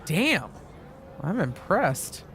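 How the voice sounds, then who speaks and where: A woman playing an excitable, blunt wrestling official in a video game cutscene. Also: lowish voice, drawn-out words, a little hiss or hum, heavily acted. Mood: impressed.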